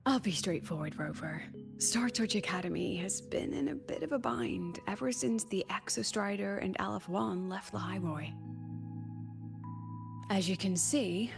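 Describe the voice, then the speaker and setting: An actress playing a weary, wry academy president in a video game, sly and chatty, talking conversationally with sighs and coy pauses. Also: female voice, lowish voice, creaky. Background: background music.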